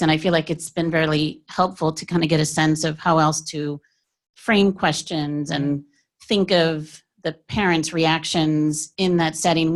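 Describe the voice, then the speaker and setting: Low-voiced reflective woman pediatrician. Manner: reflective, conversational. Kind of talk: discussion at a pediatric workshop.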